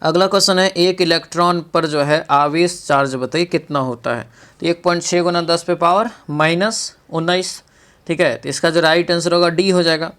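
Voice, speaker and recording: A man's voice: fairly high pitch, fairly even intonation, moderate pace, fairly bright tone, clear, resonant tone. A man teaching an exam practice lesson, reading out questions and explaining answers with frequent check-in tags and rhetorical questions.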